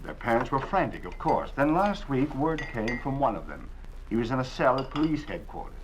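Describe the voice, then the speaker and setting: A man playing a worried father in a 1950s radio drama, earnest and measured, on a dull old recording. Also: mid-range voice, sing-song, background noise, natural voice. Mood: grave. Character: worried middle-aged father, stern when pushed.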